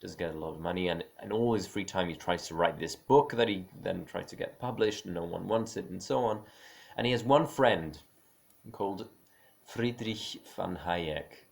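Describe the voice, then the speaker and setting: A man thinking aloud in an unscripted monologue, halting and full of restarts, with small laughs as he offers his opinions. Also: low-pitched, creaky. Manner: musing, opinionated. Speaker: speaker on creative careers.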